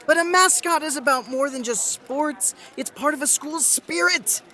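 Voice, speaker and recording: A man playing an excitable, earnest school principal who loves mascots. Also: very high voice, normal speed, a little hiss or hum, exaggerated voice. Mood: excited. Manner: enthusiastic, earnest.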